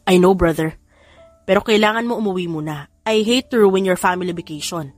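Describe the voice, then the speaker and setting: A woman's voice: lowish voice, fairly quick, natural voice. Woman as a serious Filipino big brother. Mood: urgent, serious. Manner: serious, insistent. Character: older brother on the phone, firm and serious. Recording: background music under the voice.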